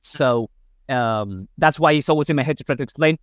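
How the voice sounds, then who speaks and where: Spanish guy hosting a podcast in english, smooth clear voice, lots of uhs and ums. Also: mid-range voice, a little fast, no room sound, studio quality. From Spain. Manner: rambling, lots of fillers and hesitation. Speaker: podcast host. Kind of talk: casual interview.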